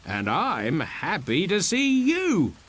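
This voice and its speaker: A man voicing a bold, wine-drinking castaway in a storybook, with drawn-out exclamations. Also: high voice, huge pitch swings, fairly bright tone, pitched above the performer's own voice, full character voice. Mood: glad. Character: bold wine-drinking male castaway who wants to be king. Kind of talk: storybook character voice.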